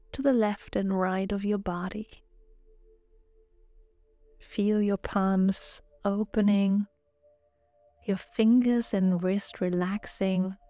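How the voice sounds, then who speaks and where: A woman narrating a guided relaxation meditation in a calm, soothing, measured way, as a yoga nidra guide. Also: medium pitch, no echo. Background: background music.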